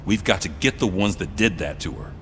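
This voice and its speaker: A man's voice: sits low, lively intonation, brisk, a bit bright. A man playing a stern but caring police sergeant in a video game, earnest and plain-spoken. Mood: earnest, gruff resolve. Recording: long reverb of a garage, noisy vehicle ambience.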